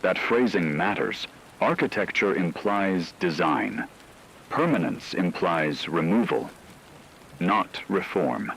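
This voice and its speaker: Low-voiced grave radio news anchor, acted by a man. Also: sing-song, vocal fry, fairly bright tone, a little hiss or hum, exaggerated voice, rough recording. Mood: grave, ominous. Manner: solemn, deliberate, short emphatic sentences. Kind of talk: scripted emergency radio broadcast narration.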